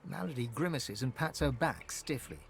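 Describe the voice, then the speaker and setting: A man narrating a fantasy video game as a dramatic, expressive storyteller. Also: medium pitch, moderate pace, creaky, slight background noise. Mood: dramatic, measured.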